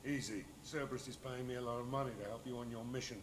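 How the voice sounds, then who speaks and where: An actor playing a hard-bitten mercenary bounty hunter in a video game, gruff, blunt and unbothered. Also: male voice, mid-range voice, steady tone, quick pace, lightly acted. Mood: gruff, businesslike. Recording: echoey space with noisy ambience.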